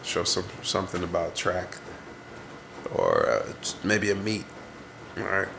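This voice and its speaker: A man's voice: sits low, wildly swooping pitch, a little slow, creaky, noisy background. A man in his twenties, congested, talking to camera in a rambling, introspective way as he vents. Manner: rambling, introspective, searching for words. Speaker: vlogger. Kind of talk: casual reflective venting to camera.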